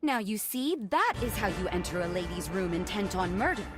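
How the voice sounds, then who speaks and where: A woman's voice: medium pitch, sing-song, moderate pace, over music, lightly acted. An actress playing a haughty, playful and predatory noblewoman in a video game, teasing in an elegant, theatrical way. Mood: teasing, amused. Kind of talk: video game cutscene acting.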